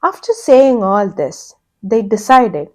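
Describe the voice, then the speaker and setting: A woman's voice: medium pitch, sing-song, slow pace, warm tone. A woman telling a story to children in a playful way. She makes vehicle sound effects and keeps asking the listener questions. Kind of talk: animated storytelling for kids.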